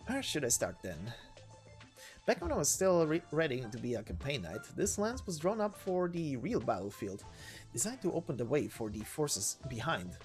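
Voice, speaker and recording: A man voicing a brash, battle-hardened lance knight, proud and bitter, with a blunt, halting delivery in a game story. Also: mid-range voice, sing-song, soft background music, close to an everyday voice. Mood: boastful, recounting.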